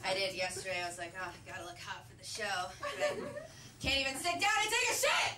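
A young woman from Canada performing a stand-up comedy set, swinging between low asides and shrieking punchlines. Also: very animated, fairly quick, very noisy. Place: comedy club stage, large dark room. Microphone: handheld mic on a stand cord, filmed from far. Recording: roomy, distant club recording with crowd laughter. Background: audience laughter.

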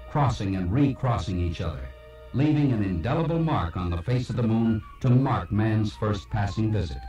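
A very roomy, distant cassette recording of a man narrating over music. His delivery is formal, measured and solemn, like a scripted slide-show documentary. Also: on the low side, mellow. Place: played from a cassette, heard in a room. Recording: echoey, distant playback of cassette tape.

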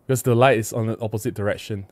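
A man's voice: fairly low pitch, fairly even intonation, racing, a little mellow, no room sound. A middle-aged man with a Malaysian accent chatting casually on a livestream, reading out comments and replying in an emphatic, conversational way. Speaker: livestream host. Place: small indoor room at a desk. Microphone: close desk microphone with windscreen.

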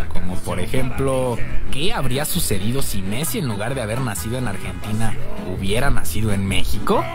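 A man giving scripted narration in a playful tone, with chuckling asides. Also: mid-range voice. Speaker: video narrator. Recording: narration under loud music.

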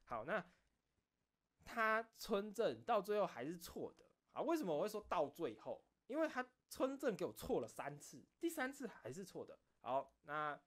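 A man chatting casually on his own as a streamer, rambling through stories in an animated, self-deprecating way. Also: sits high, normal speed. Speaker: streamer and video creator. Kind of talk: casual solo chat, recounting behind-the-scenes stories.

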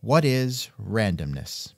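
A man with a lively, swooping delivery hosts a casual interview. He sounds wry and musing, and the recording is close and dry. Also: fairly low pitch, slow pace, vocal fry, dry recording. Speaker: podcast host and interviewer. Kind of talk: casual scripted interview chat.

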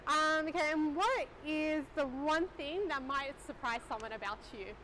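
High-voiced young woman interviewer. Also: normal speed, pushed and bright, slight background noise, poor audio. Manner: friendly, brief encouraging replies. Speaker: interviewer from the clinic staff. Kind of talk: casual staff interview. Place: large gym studio, indoors.

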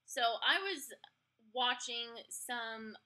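A young woman with a bright, slightly high voice hosts a casual chat. She takes her time, speaking informally and a little haltingly, piecing her thoughts together as she goes. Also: animated. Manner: informal, halting, uses "like" and "kinda". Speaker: podcast host.

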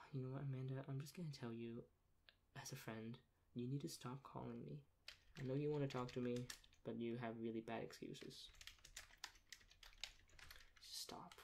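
Young guy doing asmr rude dispatcher bit, deadpan n sarcastic, medium pitch, noisy room w typing clicks. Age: young adult. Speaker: ASMR role-play creator acting a rude emergency dispatcher. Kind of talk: soft-spoken ASMR role play. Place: small plain room indoors. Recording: clear background noise, keyboard clicks.

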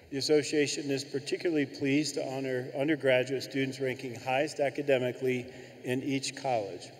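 An older man giving a formal scripted ceremony address as a university official at a podium microphone in a large arena. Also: mid-range voice. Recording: echoey arena hall with long reverb.

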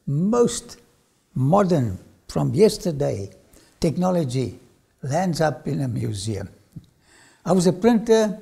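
An older man with an Afrikaans accent, a lecturer telling his own story to camera in a studio, swinging from loud phrases to whispers. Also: a little high, gravelly, breathy edge. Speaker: archaeology lecturer. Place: studio with dark backdrop.

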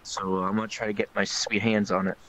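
A man's voice: lowish voice, flat delivery, quick pace, fairly bright tone, low-quality recording. A man streaming and chatting casually with a friend, loose, joking and profane. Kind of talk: casual chat while streaming.

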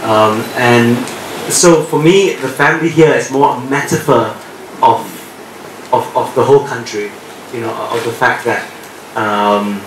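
Director guy in his 50s, lots of ums, far off in a boomy room with background hum. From Singapore. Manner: halting, many ums and restarts. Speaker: film director on a panel. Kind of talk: post-screening Q&A answer. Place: screening room, seated on a stage. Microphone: handheld mic, heard from afar. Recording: echoey room, distant, noisy.

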